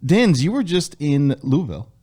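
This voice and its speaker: A man's voice: fairly high pitch, sing-song, moderate pace, dark tone, husky. A man hosting a podcast in a small studio, chatting casually and upbeat with friends, laughing often. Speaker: podcast host. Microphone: studio microphone.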